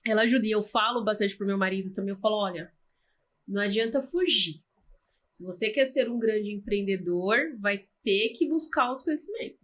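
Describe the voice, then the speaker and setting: A woman's voice: mid-range voice, brisk, a bit bright. A woman in her thirties with a Brazilian Portuguese accent, a podcast host who asks questions and gives brief, encouraging replies. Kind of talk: interviewing.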